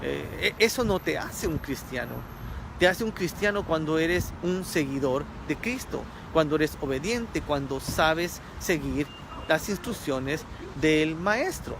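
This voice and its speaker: A man's voice: fairly high pitch, animated, unhurried, creaky, background noise. A man from Ecuador preaching a sermon, earnest, repeating key phrases for emphasis.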